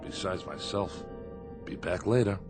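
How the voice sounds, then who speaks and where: A lonely convict in exile, speaks in a very low, weary voice. It is radio drama, with music clearly underneath. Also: male voice, measured pace. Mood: eager, excited. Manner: brooding in monologue, over-eager with visitors.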